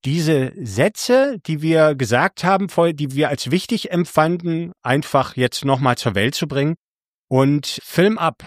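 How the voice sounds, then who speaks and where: Guy cohosting a podcast, kinda high voice, rambles n restarts a lot, dry close sound. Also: animated, unhurried, no echo. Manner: rambling, self-correcting. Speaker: podcast co-host. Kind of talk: casual chat.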